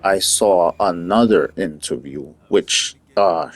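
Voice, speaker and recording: A man's voice: low voice, slow pace. A man from Puerto Rico giving an earnest, opinionated monologue in a casual, rambling way. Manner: earnest, opinionated, talks in loose rambling asides. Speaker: vlogger.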